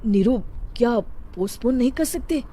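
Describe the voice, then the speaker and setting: A woman's voice: a little high, animated, moderate pace, background noise, lightly acted. A woman voicing a mild young husband, hesitant and reasoning, in a cartoon moral story. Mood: worried, hesitant. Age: young adult.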